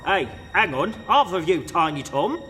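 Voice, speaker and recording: A man playing a brisk, cheeky letter messenger hailing someone in a comic radio play. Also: high-pitched, sing-song, sharp tone, exaggerated voice. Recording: reverberant hall, faint music behind.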